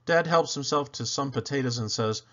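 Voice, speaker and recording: A man reading a children's picture book aloud in an animated storyteller style, with dramatic, emphatic phrasing and playful swoops. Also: mid-range voice, normal speed, muffled recording.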